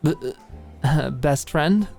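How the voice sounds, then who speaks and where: A woman voicing a nervous, high-strung young woman in an audiobook, awkward and trying hard to sound casual. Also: creaky, a little mellow, soft background music, full character voice. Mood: nervous, hesitant. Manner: halting, awkward, forced casual, flippant.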